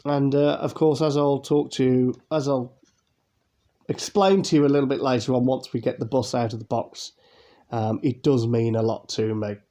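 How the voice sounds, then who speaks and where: A man's voice: medium pitch, flat delivery, normal speed, warm tone. A man from England, hosting a casual unboxing video, chatty and rambling with fillers, his voice nasal and congested from a cold. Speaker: YouTube unboxing host.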